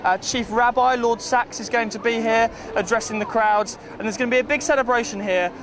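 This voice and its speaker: Upbeat high-voiced male street reporter. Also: fairly quick. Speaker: field reporter. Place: outdoors at a busy street event. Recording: outdoor recording with street noise.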